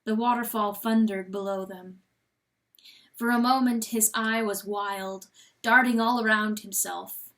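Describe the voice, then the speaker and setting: A woman giving a dramatic, intense and deliberate storybook narration. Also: medium pitch, fairly even intonation, fairly bright tone. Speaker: audiobook narrator.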